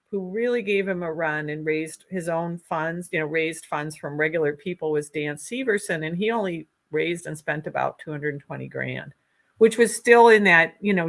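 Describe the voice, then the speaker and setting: Minnesota-accented woman, lowish voice. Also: vocal fry, a bit bright. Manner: earnest, halting with many ums and restarts. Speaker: candidate for Secretary of State. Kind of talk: political interview, conversational.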